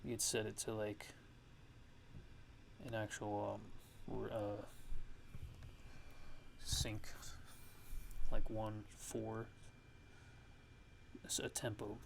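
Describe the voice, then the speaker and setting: A man narrating a casual software tutorial as a music production host, speaking haltingly as he thinks aloud. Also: fairly low pitch, monotone, vocal fry, slight background noise.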